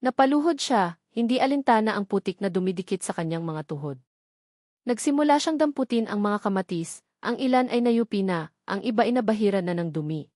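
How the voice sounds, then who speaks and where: A woman's voice: mid-range voice, lively intonation, a little fast, darkish tone, dry recording, studio quality. A woman narrating a scripted romantic drama story as a dramatic storyteller.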